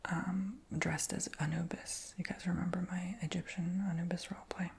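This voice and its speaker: A man whispering through a rambling ASMR storytime chat, his voice high-pitched for a man, with frequent ums and likes. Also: little pitch movement, moderate pace, creaky, husky. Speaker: ASMR creator.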